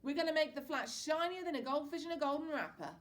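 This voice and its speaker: A woman voicing a brash, upbeat father who turns gruff when pushed. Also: sits high, expressive, fast, voice pushed up. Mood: jolly.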